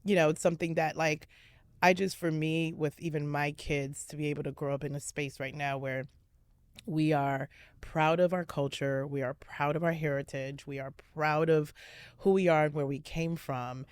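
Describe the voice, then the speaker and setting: Animated woman telling stories. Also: sits low, moderate pace, clean tone, no room sound. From Miami, USA. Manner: animated storyteller, lots of likes and restarts. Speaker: interview guest. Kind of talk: casual conversational interview.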